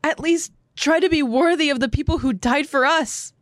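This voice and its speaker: A man voicing an earnest, determined young woman soldier in a high falsetto. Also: moderate pace, a bit bright, no echo, studio quality.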